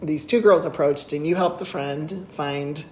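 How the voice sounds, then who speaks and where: A woman co-hosting a casual chat show, retelling a story with lively, laughing asides and sudden high exclamations. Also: measured pace. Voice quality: laughs often while talking. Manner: animated retelling, voice jumps high when excited. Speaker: podcast co-host. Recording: echoey room with faint noise.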